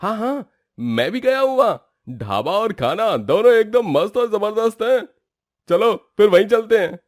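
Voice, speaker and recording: A man voices an enthusiastic village food lover in a moral tale. He is hearty and very lively in pitch, recorded dry and close. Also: moderate pace, no echo. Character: hearty village men, enthusiastic food-loving friends and diners. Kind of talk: Hindi moral story voice acting.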